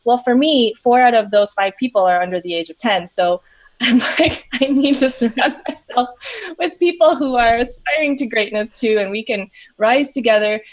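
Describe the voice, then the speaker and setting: A woman from Peru, a coach for moms, speaking as an interview guest in an earnest, reflective way with many halting restarts. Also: on the high side. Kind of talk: casual interview chat.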